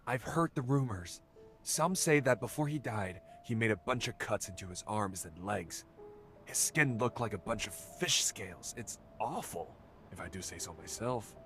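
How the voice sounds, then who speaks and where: An actor voicing an anxious, gossip-hungry middle-aged tabloid reporter in a game, nervous and dramatic in delivery. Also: male voice, on the low side, animated, moderate pace, a bit bright, faint music behind. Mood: eager, hushed gossip. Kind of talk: English game voice acting.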